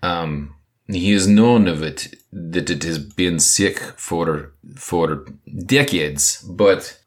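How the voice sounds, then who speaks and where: A man doing an earnest villager translator for a tabletop game, speaking halting, broken English and dropping his articles. The voice sits very low and moves slowly and deliberately.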